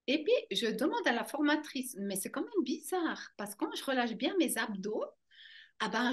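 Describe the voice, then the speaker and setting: Woman, slightly high voice, animated, conversational personal account. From German-speaking Switzerland. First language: German. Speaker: interview guest, fascia trainer.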